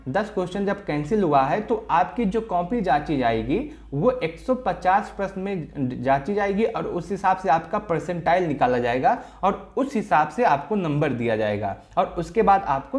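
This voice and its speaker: Guy doing a coaching explainer, goes step by step, repeats himself a lot. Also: high-pitched. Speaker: exam coaching presenter. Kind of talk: informal explanatory lesson to viewers.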